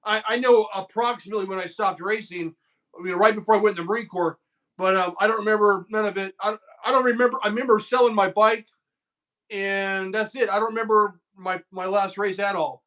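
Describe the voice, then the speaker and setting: A man's voice: sits high, steady tone, fairly quick, bright, forceful tone. A man in his fifties hosting a casual conversation, telling loose stories and swearing freely. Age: 50s. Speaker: host.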